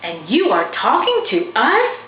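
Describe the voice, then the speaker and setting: A woman's voice: fairly high pitch, wildly swooping pitch, unhurried, pitched above the performer's own voice. A woman voicing a helpful, curious and enthusiastic young boy in a children's storybook. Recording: roomy, slightly dull, faintly noisy recording.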